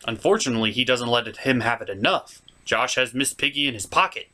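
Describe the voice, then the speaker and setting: A man's voice: medium pitch, lively intonation, fast talker, sharp tone. A man hosting a comedic review, narrating a recap in a theatrical way with playful asides. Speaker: host of a puppet show review series.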